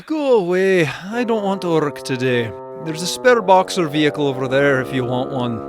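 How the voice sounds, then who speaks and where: A man playing a wry, grumbling mechanical inventor hero in an animated fan dub. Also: on the high side, normal speed, over music, natural voice. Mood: grumpy.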